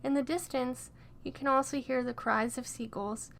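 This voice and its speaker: Gentle higher-pitched woman guiding meditation. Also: steady tone, moderate pace, gravelly, a little hiss or hum. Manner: gentle, soothing, encouraging instruction. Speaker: guided meditation narrator. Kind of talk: scripted guided imagery meditation.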